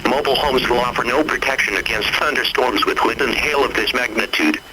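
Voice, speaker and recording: A man's voice: medium pitch, a little fast, close to an everyday voice. A man's voice as an impersonal weather alert announcer, reading a formal scripted bulletin. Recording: very noisy radio-like alert broadcast.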